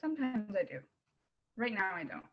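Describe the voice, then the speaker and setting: A woman's voice: mid-range voice, big pitch swings, moderate pace, highs cut off. A woman reading a wistful, candid, teasing teenage girl in plain-spoken screenplay table read dialogue.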